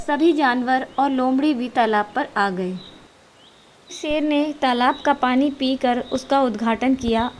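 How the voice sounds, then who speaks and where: A woman storyteller with a fairly high voice reads a scripted children's tale. Faint noise runs behind her narration.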